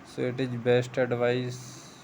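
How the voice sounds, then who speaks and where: A man talks through a programming tutorial in an even, level tone. He goes at an unhurried pace, explaining each step patiently and thinking out loud. English is not his first language. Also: mid-range voice, a little hiss or hum. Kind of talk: screen-share coding tutorial.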